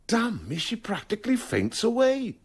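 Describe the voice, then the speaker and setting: An actor playing a gossipy, ageing Welsh village shopkeeper, telling tales in a confiding, neighbourly way in a radio drama. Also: male voice, high voice, normal speed, slightly rough, heavily acted. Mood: confiding, dramatic. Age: older. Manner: animated, confiding storytelling.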